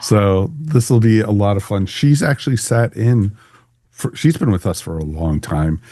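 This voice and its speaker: Male podcast host, low-pitched and chatty, folksy humor, close dry sound. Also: expressive, normal speed, mellow, no room sound. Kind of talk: casual podcast hosting.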